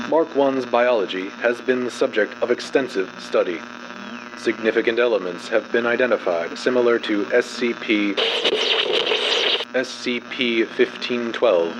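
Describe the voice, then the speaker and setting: Male narrator, lowish voice, formal and clinical dramatic reading, clear hum in the recording. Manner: formal, measured, clinical delivery.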